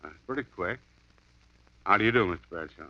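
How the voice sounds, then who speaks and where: A man's voice: deep voice, animated, fairly quick, dry recording. An actor playing a wisecracking, breezy male private detective in a 1950s radio drama. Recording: dull lo-fi 1950s radio broadcast.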